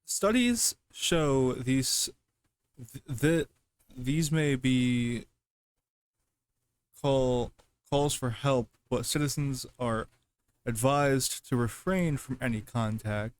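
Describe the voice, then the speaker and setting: A man reads aloud and reacts in an offhand, casual way. His pace is slow and his pitch sits in the middle. He stumbles over words and often doubles back to repeat them. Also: clean tone, no room sound. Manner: halting, stumbling, repeats himself. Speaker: reaction video host.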